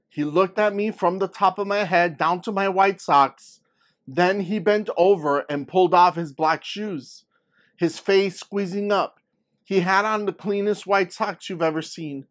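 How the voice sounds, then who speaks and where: Storybook narrator: earnest young girl, read by a man, dramatic delivery, raised pitch. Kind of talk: scripted picture-book read-aloud.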